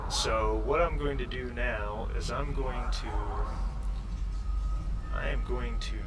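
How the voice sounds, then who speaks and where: A man narrating a tabletop game as game master in a halting, conversational way, often correcting himself as he improvises. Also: medium pitch, fairly even intonation, heavy background noise.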